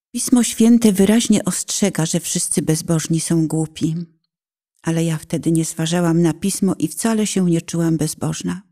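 Woman reading her own testimony, kinda low soft dark voice, solemn n deliberate. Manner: solemn, measured, deliberate phrasing. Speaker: author and narrator. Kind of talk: scripted narration of a personal testimony.

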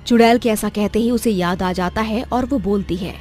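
A woman's voice: medium pitch, normal speed, a little mellow, soft background music. A woman narrating a horror cartoon story with dramatic storyteller delivery.

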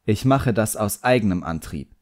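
A man's voice: lowish voice, expressive, normal speed, darkish tone, pitched above the performer's own voice, lightly acted. A man voicing a proud, sullen young boy apprentice magician in an audiobook, defiant and muttering.

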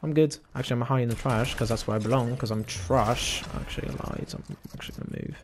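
A man putting on a British accent and chatting excitedly with a teammate over a game, saying mate all the time. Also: mid-range voice, quick pace, a little hiss or hum. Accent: put-on British. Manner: exaggerated British slang, says "mate" constantly. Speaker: gamer, video creator. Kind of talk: casual excitable gameplay chat with teammate.

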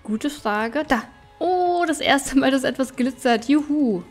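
A high-pitched female let's player talks casually through her game. She thinks aloud in a chatty way, with music playing behind her. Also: animated, unhurried. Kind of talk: casual gameplay commentary.

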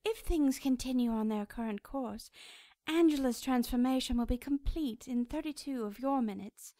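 A high, dry-sounding cherub acted by a woman from a radio drama. The angel is cold and imperious, choosing each phrase formally and looking down on mortals. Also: mellow, no echo, lightly acted. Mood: cold, detached. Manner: formal, stately, measured phrasing.

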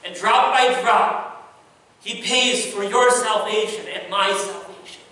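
A man in his thirties preaching a sermon from the pulpit, earnest, moving between hushed lines and raised emphasis. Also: sits high, slow pace. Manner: earnest, swings from soft hush to raised emphasis. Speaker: pastor. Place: large church sanctuary, at the pulpit. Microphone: distant pulpit mic. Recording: echoey church from far off.

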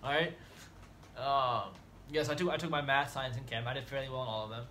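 A man's voice: medium pitch, fairly even intonation, fairly quick, sharp tone, slight background noise. A man, chatting casually and a bit slangy in a small dorm room, laughing easily. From United States. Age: 20s. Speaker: medical student guest. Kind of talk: casual chat.

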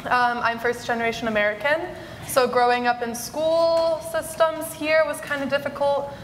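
A teenage girl speaks as a panelist on a conference stage, chatty and upbeat. Her voice sits fairly high. It rings through a large hall with a long echo and a faint hiss. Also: little pitch movement, normal speed. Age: teens. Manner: chatty, upbeat, laughs often. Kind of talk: panel introduction. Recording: echoey hall recording.

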